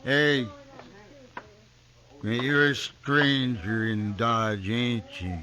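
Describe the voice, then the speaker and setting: An actor playing a belligerent drunk Old West farmer in a radio western, talking in a slow, boozy, needling drawl. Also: male voice, medium pitch, fairly even intonation, sharp tone.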